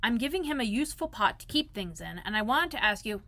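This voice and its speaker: A woman voicing a gentle, slow-witted male teddy bear in a storybook, earnest and pondering. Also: a little high, big pitch swings, full character voice.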